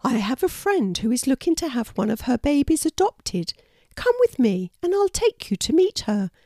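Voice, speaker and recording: Storybook casting: woman as an earnest wallaby mum, reassuring and helpful, higher-pitched with lively intonation. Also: normal speed, no echo, clean studio sound, exaggerated voice. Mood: friendly, helpful. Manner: motherly, earnest. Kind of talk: children's storybook character voice.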